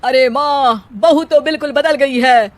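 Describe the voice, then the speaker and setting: A cocky, idle young husband acted by a woman in a moral-story cartoon. The voice is high and theatrical, declaiming silly rhyming verse as if owed applause. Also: a little slow, no room sound. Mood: self-pitying, boastful. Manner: theatrical, declaiming in rhymed couplets.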